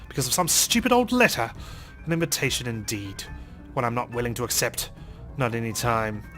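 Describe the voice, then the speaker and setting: Fast-talking man reading game narration theatrically. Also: medium pitch, fairly bright tone. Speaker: let's play host reading game text. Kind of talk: dramatic reading of visual novel narration. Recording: voice over game music.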